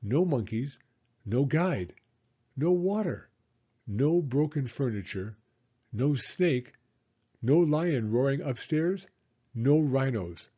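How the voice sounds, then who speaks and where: A man reading a children's storybook as an expressive, dramatic narrator. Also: mid-range voice, slow pace, pauses often, husky, muffled recording.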